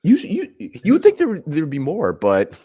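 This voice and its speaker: A man co-hosting a casual sports podcast, running through player stats with animated asides. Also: fairly high pitch, brisk, husky. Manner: animated, listing stats, swings up when amused. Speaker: podcast co-host.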